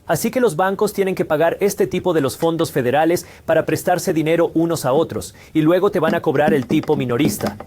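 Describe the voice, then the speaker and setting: A man's voice: on the high side, a little mellow. A man hosting a scripted finance explainer, laying out points in a didactic question-and-answer manner. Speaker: host of a finance explainer channel. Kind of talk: scripted explainer narration, dubbed from another language.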